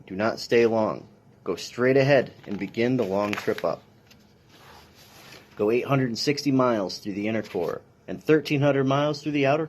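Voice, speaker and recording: A man's voice: medium pitch, darkish tone. A man, a police officer, reading a children's science picture book aloud in an instructional way with brief dry asides.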